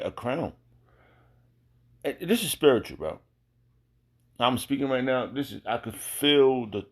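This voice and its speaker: American guy doing casual bible teaching, lowish voice, emphatic, repeats himself a lot. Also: a little mellow. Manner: colloquial, emphatic, repeats phrases, checks in with rhetorical questions. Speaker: religious teacher. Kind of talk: informal scripture teaching, preaching.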